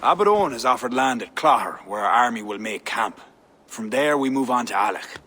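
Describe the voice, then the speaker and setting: An actor playing a proud, distrustful high king in a video game cutscene, speaking in a stern, measured way. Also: expressive, bright, forceful tone. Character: proud wary Irish high king, middle-aged.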